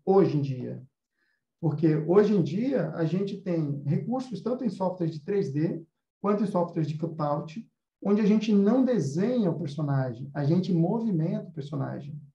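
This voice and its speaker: A man's voice: high-pitched, dark tone. A Brazilian man teaching and hosting in a casual, explanatory way. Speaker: host, animation course teacher. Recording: roomy, slightly dull sound.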